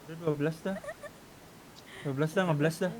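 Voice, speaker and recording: A man's voice: fairly high pitch, steady tone, normal speed, a crowd in the background, rough recording. A man with an Indonesian accent chatting in casual slang as a player in an online game. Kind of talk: casual slangy gaming chat.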